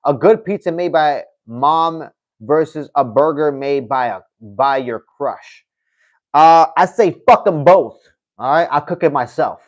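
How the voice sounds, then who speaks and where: A man's voice: a little high, expressive, a little slow. A man hosting a casual livestream, reading out viewer comments and answering them in a loose, chatty way, often adding "you know what I mean.". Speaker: livestream host.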